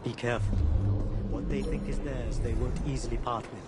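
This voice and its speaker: A man's voice: mid-range voice, fairly even intonation, brisk, lightly acted. A man playing a courteous, refined Indian maharaja in a video game, with an Indian accent and formal, gallant manners. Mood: intent, conspiratorial. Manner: formal, polite, gallant turns of phrase. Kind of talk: video game cutscene acting. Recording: loud music behind the voice.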